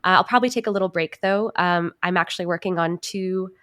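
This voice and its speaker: A woman's voice: lowish voice, fast, a bit bright, no echo, studio quality. A woman speaking casually as a guest in an interview, talking about her creative work. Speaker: podcast guest, actor and writer.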